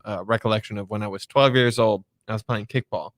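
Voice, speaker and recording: A man in a casual group chat, telling stories in a relaxed way with fillers. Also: on the low side, a little fast, no room sound.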